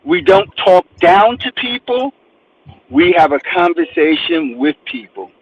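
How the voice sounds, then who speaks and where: A man from New Jersey talks about his book as an interview guest. His voice is high and swings widely in pitch, and he acts out other people's questions. The sound is a bit dull, with faint noise behind. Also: very animated, unhurried, breathy edge. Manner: emphatic storyteller, acts out quotes. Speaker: guest author. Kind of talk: animated interview talk.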